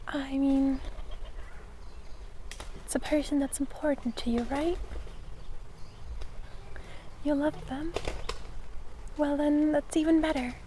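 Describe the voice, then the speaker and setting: A woman voicing a gentle, curious fae in an ASMR fantasy roleplay, soft-spoken and often slipping into whispers, hesitant and kindly. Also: high voice. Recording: clear background noise of forest ambience.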